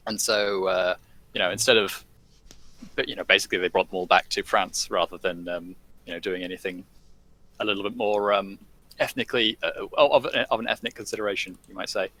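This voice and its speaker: A man's voice: fairly low pitch, fairly even intonation, a little fast, sharp tone, low-quality recording. A man talking as a podcast guest, hesitant, with many fillers and restarts. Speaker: guest commentator. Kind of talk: casual podcast discussion.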